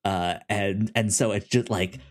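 Podcast co-host, young man, lowish voice, halting delivery full of restarts. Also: steady tone. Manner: halting, many restarts and fillers. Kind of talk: casual comic-book discussion.

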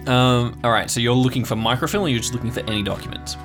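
A man's voice: medium pitch, quick pace, over music. A man narrating an improvised tabletop story as game master, describing scenes in a conversational way.

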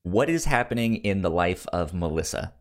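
Guy hosting a podcast, chatty, pitch jumps around a lot, kinda stumbles and repeats words. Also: expressive, normal speed. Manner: stammering, repeating words as he starts. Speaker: podcast host. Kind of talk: casual movie podcast chat.

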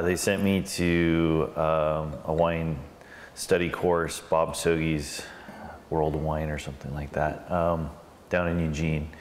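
A man talking in an oral history interview, relaxed and hesitant with many fillers. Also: a bass voice. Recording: long hall reverb, faint background noise.

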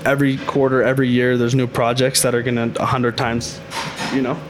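Confident man pitching crypto. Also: level tone, a little fast, a little hiss or hum, poor audio. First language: Haitian Creole speaker. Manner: confident, pitching, hedges with "I think". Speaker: crypto consultant guest. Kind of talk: casual podcast interview.

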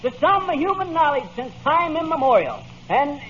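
High, animated, flustered salesman. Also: moderate pace, exaggerated voice. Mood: puffed-up pitch. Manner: bumbling sales patter, easily thrown. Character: blustery, easily flustered American husband turned book salesman. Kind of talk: old-time radio comedy acting. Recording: muffled, hissy vintage radio recording.

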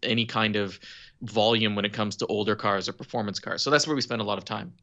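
A man from Quebec, Canada, an automotive journalist telling stories as a guest in a casual podcast interview, relaxed and wryly humorous. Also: on the low side, fast. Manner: relaxed storytelling, wry humor.

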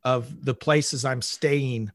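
A middle-aged man talking conversationally as an interview guest, in halting bursts with repeated words. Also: mid-range voice, fairly even intonation, dry recording, studio quality. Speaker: interview guest, manager at a global company.

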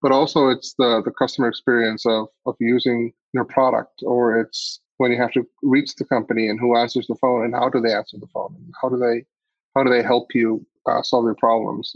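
A man's voice: medium pitch, level tone, a little fast, dry recording, highs cut off. A man from Iceland answering interview questions as a guest, picking his words hesitantly and thoughtfully. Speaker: podcast guest, agency CEO.

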